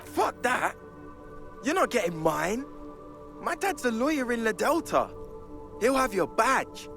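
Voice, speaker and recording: Bratty street punk for a game, high voice swinging all over, slow sneering drawl. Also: male voice, sing-song, gravelly, over music, full character voice. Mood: defiant. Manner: sneering, defiant. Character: cocky, foul-mouthed young male street punk.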